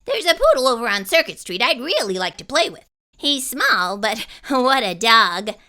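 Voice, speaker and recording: A woman's voice: on the high side, big pitch swings, pushed and bright. A woman voicing a chatty, eager talking female dog who rattles on breathlessly in a storybook reading. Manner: rapid and breathless in long chatter.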